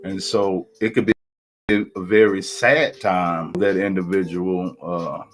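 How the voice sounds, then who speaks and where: A man's voice: sits low, fairly even intonation, measured pace. A man, a pastor co-hosting a casual live-stream talk, speaking in a rambling, colloquial way that repeats itself. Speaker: pastor and co-host.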